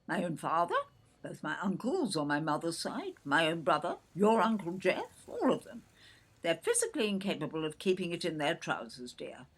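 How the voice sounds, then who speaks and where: A woman's voice: fairly low pitch, big pitch swings, moderate pace, slightly rough. An actress playing an older English mother in an audio play, indignant and brusque, condescending as she defends her son. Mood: brusque, dismissive. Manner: clipped, brusque, condescending, calls listener "dear".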